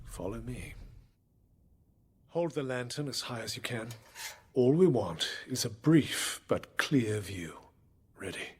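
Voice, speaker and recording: An actor playing an authoritative, secretive gentleman investigator in a period audio drama, husky, measured and formal. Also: medium pitch, expressive, slight background noise. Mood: grave, secretive.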